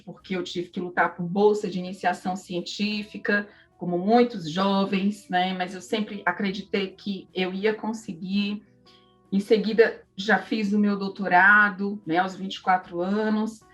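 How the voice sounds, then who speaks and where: Northeastern Brazilian woman, distant mic over music. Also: medium pitch. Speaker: interview guest, psychology professor. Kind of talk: conversational interview, personal storytelling.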